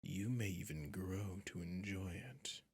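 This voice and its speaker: A man playing a sadistic, centuries-old vampire prince in an ASMR roleplay, slow and menacing, often dropping into a whisper. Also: a little mellow, no room sound, clean studio sound, exaggerated voice. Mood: possessive, taunting. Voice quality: low, husky, gravelly.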